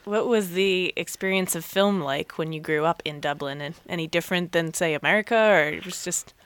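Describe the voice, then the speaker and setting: A woman's voice: fairly low pitch, brisk, a bit bright, smooth. A woman co-hosting a podcast, opening with an upbeat scripted intro and asking questions. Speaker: podcast co-host. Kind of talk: podcast interview.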